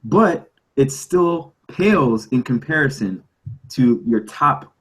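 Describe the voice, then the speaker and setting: A man speaks as a podcast panelist in a loose, casual debate. His voice sits at an average pitch, and he argues emphatically, repeating phrases to drive his point home. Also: animated, takes it slowly, low-quality recording. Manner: emphatic, argumentative, repeats himself for effect.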